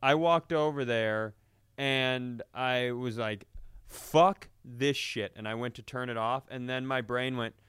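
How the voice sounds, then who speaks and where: A man telling comedic stories in casual, animated, profane banter, often acting out quotes as a comedy podcast host. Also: mid-range voice, slow, smooth, dry recording, clean studio sound.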